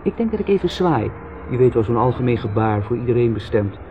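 On a tinny, noisy 1970s radio tape, an actor plays a sardonic man of middling pitch. He muses and rambles with dry irony, treating grim matters with fatalistic lightness. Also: big pitch swings, fast talker, lightly acted. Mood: flippant, musing. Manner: wry, musing, ironic. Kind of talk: 1970s radio drama monologue and dialogue.

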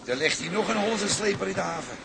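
A discontented crewman in a seafaring radio drama. His voice is high and gravelly, challenging, with engine noise behind. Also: animated, quick pace. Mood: restless. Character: discontented, questioning male crewman.

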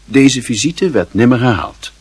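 Husky male narrator, dry sound. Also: medium pitch, expressive, unhurried, no echo. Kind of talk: scripted literary narration for a radio play.

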